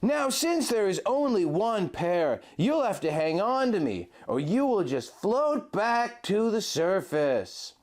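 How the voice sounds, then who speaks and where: Man putting on a soaring, breathy young hero, earnest and doting, pitch swooping high. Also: sing-song, takes it slowly, hardly any pauses, darkish tone, full character voice. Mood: instructive.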